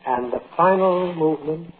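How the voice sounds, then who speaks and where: A learned astronomer played by a male actor lectures in a fairly high voice, formal and solemn, in 1940s radio style. The sound is thin and muffled, with light hiss from an old recording. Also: normal speed, natural voice. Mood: didactic. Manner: formal, precise, didactic.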